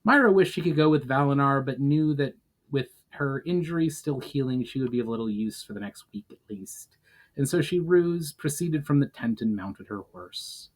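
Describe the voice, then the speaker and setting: A man reads fantasy fiction aloud in a dramatic storytelling style. His voice sits at a medium pitch for a man, and he narrates like an audiobook reader. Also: expressive, moderate pace, low-quality recording. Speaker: author reading own fiction aloud.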